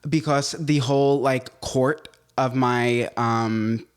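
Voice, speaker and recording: Male podcast co-host, ranty animated storyteller, casual chat, home set. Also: mid-range voice, slow, clear, resonant tone.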